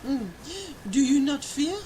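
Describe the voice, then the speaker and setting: An actress playing an earnest, superstitious French governess with formal speech and a French accent in a radio drama. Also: female voice, high-pitched, measured pace, mellow, poor audio. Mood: wistful, concerned. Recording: clear noise, night ambience behind.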